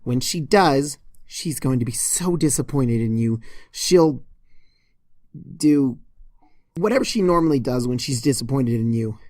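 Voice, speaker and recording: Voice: mid-range voice, expressive, a little slow, darkish tone, no room sound, studio quality, natural voice. A storyteller voicing an exasperated young female cartoon pony heroine, indignant and scolding, in a story reading. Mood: indignant, annoyed.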